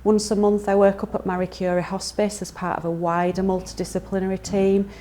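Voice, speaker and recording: A woman's voice: medium pitch, flat delivery, a little fast, mellow. A woman physiotherapist talking about her work, reflective and earnest, with some fillers.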